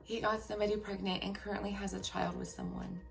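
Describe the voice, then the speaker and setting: Woman, personal storytime vlog, gravelly fry, raspy from a tiring day, frank and emphatic. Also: medium pitch, level tone, fast, faint music behind. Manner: emphatic, frank, keeps checking in with viewers. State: voice getting raspy after a long workday. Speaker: vlogger telling a personal story. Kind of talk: candid personal storytelling to viewers.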